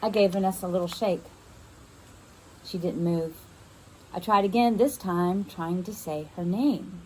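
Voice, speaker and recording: A woman reads a children's first-person novel aloud in an expressive storytelling style, as a teacher would. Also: on the low side, unhurried, a little hiss or hum, low-quality recording.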